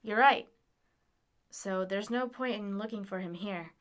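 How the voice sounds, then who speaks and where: A woman's voice: mid-range voice, unhurried, fairly bright tone, close to an everyday voice. A woman acting in an audio drama as a blunt, pragmatic young traveler, frank and informal, exasperated but caring. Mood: firm, reasoning.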